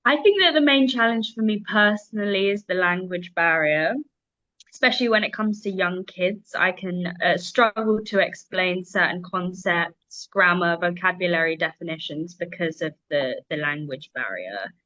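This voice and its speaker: A woman's voice: on the low side, moderate pace, bright, forceful tone, no room sound, lo-fi sound. A young woman with a London English accent, speaking articulately as a guest English teacher in a casual interview. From London, England.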